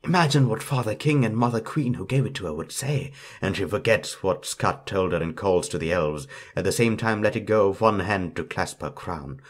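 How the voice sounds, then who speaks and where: Low-voiced man narrating a fairytale. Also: normal speed, few pauses. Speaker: storyteller.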